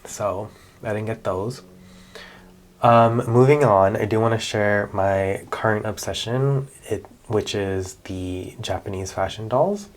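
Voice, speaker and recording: A man, chatting casually in a relaxed vlog about his doll collection, with many fillers and self-corrections. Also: fairly low pitch, slow. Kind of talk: casual show-and-tell vlog.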